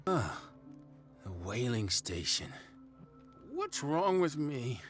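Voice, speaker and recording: An actor playing a dazed, injured island man in a video game, muttering and rambling in a halting, confused way. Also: mid-range voice, very animated, fairly bright tone, soft background music. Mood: dazed, evasive. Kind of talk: video game cutscene acting.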